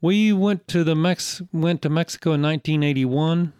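A man's voice: fairly high pitch, normal speed, a little mellow, clear, resonant tone, no echo, clean studio sound. A man in his sixties with a Southern US accent, a church elder talking in an easygoing, folksy, rambling way as an interview guest. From Greenville, South Carolina. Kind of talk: casual interview conversation.

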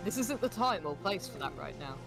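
Lively woman riffing wryly over a movie. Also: medium pitch, a little fast. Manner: wry, joking. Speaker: host of a movie reaction show. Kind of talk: casual reaction commentary over a film. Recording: film soundtrack clearly behind. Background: movie audio with music.

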